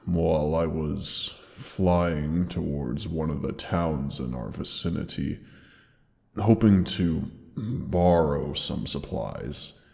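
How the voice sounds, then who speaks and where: A man voicing a wounded, dying ancient dragon who speaks to his beloved with slow, weary tenderness. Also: a little mellow, big-room sound, heavily acted. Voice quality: deep gravelly voice. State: wounded, pained. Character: dying ancient male dragon, gentle and loving. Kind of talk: audio roleplay drama.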